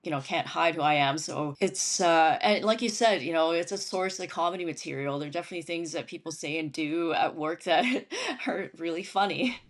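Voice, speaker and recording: A woman's voice: deep voice, a little fast, few pauses. A woman who grew up in Syria and Lebanon, a veterinarian and stand-up comic, chatting as an interview guest and laughing often as she talks. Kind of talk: casual interview chat.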